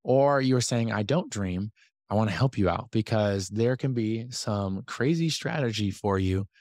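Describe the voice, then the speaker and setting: A man hosting a business podcast, talking casually to his community with a slightly hoarse voice and lots of fillers and asides. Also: on the low side, unhurried, no echo, clean studio sound. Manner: energetic, chatty, many fillers and asides. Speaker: podcast host and business coach. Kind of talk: casual talk to a community call.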